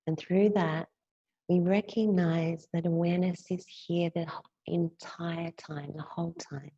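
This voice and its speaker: A woman teacher leading a guided yoga nidra meditation in a gentle, soothing, unhurried way, leaving long pauses between phrases. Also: fairly low pitch, steady tone, husky, dry recording, lo-fi sound.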